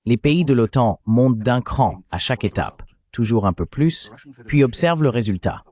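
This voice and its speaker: A man's voice: mid-range voice, lively intonation, normal speed, darkish tone. An AI male voice reading a translated voice-over of a journalist giving political analysis as an interview guest. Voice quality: synthetic AI voice.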